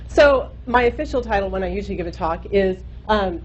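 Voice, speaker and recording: Loud-voiced woman giving a seminar talk. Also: mid-range voice, animated, a little fast. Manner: casual, chatty, with frequent ums and uhs. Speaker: guest speaker, microbiologist. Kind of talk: university seminar lecture. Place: lecture room. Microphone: microphone at a lecture. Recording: muffled recording with faint hum.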